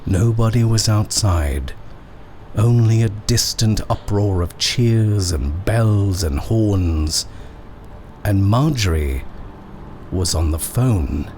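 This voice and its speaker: Slow, deep-voiced man narrating suspensefully. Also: expressive, darkish tone, slight background noise. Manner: suspenseful, measured, with dramatic pauses. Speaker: narrator reading a horror short story. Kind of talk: dramatic scripted narration of a ghost story.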